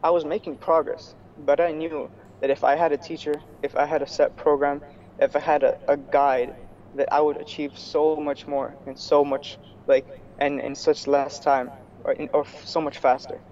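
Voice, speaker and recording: A young man from New York, a student guest in a casual video-call interview, talking in a relaxed way with many fillers and restarts. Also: on the high side, moderate pace. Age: young adult. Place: small room indoors. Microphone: earphone headset on video call. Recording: slightly dull video call audio.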